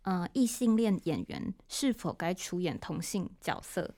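A woman's voice: on the low side, animated, a little slow, mellow. A woman with a Taiwanese Mandarin accent hosting a conversational podcast interview, explaining her thoughts at length in an articulate way. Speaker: podcast host.

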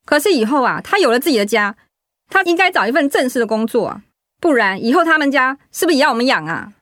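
A woman's voice: high-pitched, very animated, fast talker, a bit bright, no room sound, studio quality. A woman acting in a radio drama as a discontented wife, complaining and insistent. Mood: aggrieved complaining. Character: discontented, nagging young wife at end of patience.

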